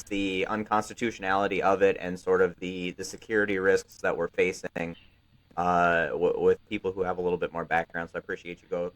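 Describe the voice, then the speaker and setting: A man hosting a casual political podcast, sarcastic and profane, laughing between remarks. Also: low-pitched. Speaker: podcast host.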